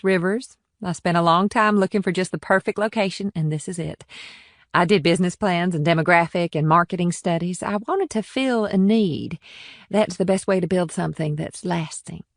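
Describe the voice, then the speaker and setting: A woman's voice: on the low side, normal speed, no echo, studio quality, close to an everyday voice. A woman playing a confident, proud and flirtatious innkeeper in audiobook dialogue, with a poised, self-assured manner. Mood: proud, businesslike. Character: confident, proud, flirtatious businesswoman running an upscale inn.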